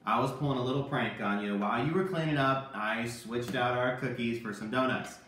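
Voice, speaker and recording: A man's voice: medium pitch, fairly quick, fairly bright tone, slight background noise. Man teaching kids, very echoey room. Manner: emphatic, repetitive teaching. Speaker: children's Bible lesson teacher. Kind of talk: kids' sermon with a puppet sidekick. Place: home kitchen, indoors. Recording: very echoey room, distant microphone.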